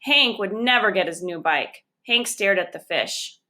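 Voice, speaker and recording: A woman reading a children's chapter book aloud, narrating it expressively and deliberately. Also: mid-range voice, animated, pushed and bright. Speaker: storybook reader.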